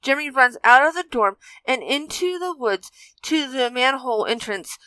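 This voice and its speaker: A woman's voice: sits high, animated, normal speed, bright, forceful tone, clear, resonant tone, dry recording, rough recording. A woman narrating a fan fiction story as a scripted reading.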